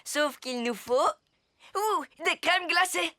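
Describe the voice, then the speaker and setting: Slow-talking, high-voiced eager small child. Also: female voice, lively intonation, bright, forceful tone, no echo, clean studio sound, heavily acted. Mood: cheerful. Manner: bubbly, exclamatory, playful. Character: cheerful, eager small child in a toddlers' cartoon. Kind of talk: animated preschool cartoon dialogue.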